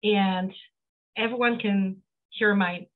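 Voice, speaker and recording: A woman's voice: medium pitch, fairly even intonation, slow pace. A middle-aged woman with a Polish accent, a guest talking earnestly over a video call with many fillers and restarts. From Poland. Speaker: guest, accountant. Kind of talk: casual interview talk. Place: home office, indoors. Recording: phone-like narrow sound.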